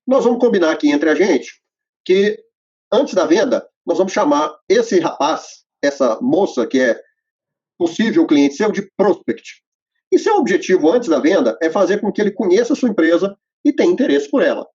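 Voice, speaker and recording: A man with a husky, rather high voice walks through a method step by step, pausing on fillers as he explains. The recording sounds muffled and lo-fi. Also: animated, a little mellow. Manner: explanatory, enumerating steps, frequent fillers. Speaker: host presenting to accountants. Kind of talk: instructional conversation. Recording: muffled lo-fi audio.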